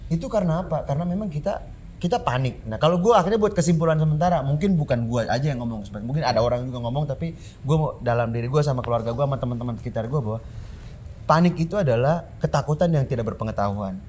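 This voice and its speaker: A man's voice: mid-range voice, fast talker, a little hiss or hum. A man from Flores, a musician, talking animatedly in a casual podcast chat, slipping in slang and English words. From Flores, Indonesia. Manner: animated, reflective, talks in slang with English bits. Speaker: musician guest.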